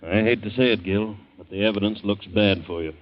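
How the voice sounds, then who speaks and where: An actor playing a measured family doctor in a 1940s radio drama, speaking in a hesitant, deliberate way. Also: male voice, on the low side, lightly acted. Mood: reluctant, grave. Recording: dull vintage 1940s radio recording.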